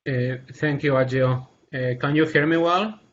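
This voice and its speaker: A man from Peru speaks on a video call panel, earnest and halting, pausing often and restarting his sentences. His voice sits in a middle range. The audio is dull and lo-fi, with a faint noise underneath. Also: medium pitch, fairly bright tone. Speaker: assistant professor panelist.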